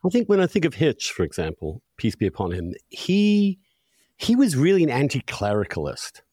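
Man, podcast host, emphatic, lively swooping pitch, dry recording, conversational. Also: moderate pace, slightly rough, no echo. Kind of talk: conversational interview.

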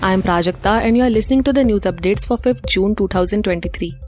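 A woman's voice: medium pitch, fast, a little mellow, soft background music. A woman with an Indian accent presents scripted news updates as a newsreader.

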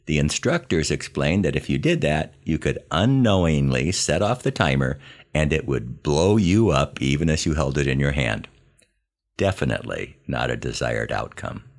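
An American man in his seventies narrating scripted first-person memoir stories in a wry, plain-spoken, measured way. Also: extremely low pitch, lively intonation. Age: 70s. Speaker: podcast host telling his army memories.

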